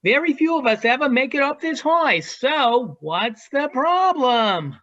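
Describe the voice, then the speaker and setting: A dull, lo-fi recording of a woman playing a worldly seagull in a stage comedy. The bird teases in slow, drawn-out phrases, with pitch that swings widely. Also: sing-song, a bit bright, exaggerated voice. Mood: encouraging. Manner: teasing, drawn-out delivery. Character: teasing, worldly adult seagull perched high above the city. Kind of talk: amateur virtual one-act play acting. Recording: dull lo-fi video call audio.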